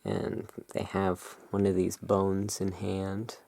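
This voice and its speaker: A person speaking in a halting, musing way, explaining things as the owner of a gothic curiosity shop in an ASMR roleplay. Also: low voice, monotone, creaky, warm tone, dry recording. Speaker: roleplaying host. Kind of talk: ASMR roleplay, showing tarot cards.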